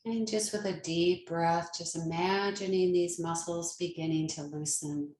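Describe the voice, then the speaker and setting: Female meditation guide, lower-pitched and very slow, gentle and soothing, in a roomy, echoing space. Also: steady tone, a little hiss or hum. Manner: gentle, unhurried, soothing instruction with long pauses. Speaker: yoga nidra meditation teacher.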